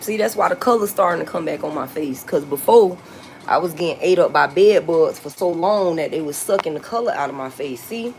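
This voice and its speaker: A woman's voice: sits low, expressive, fairly quick, darkish tone, slight background noise. A woman in her forties chatting to viewers on a phone livestream outdoors. She talks bluntly and repeats herself, swearing and laughing often. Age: 40s. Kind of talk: casual live-stream chat with viewers.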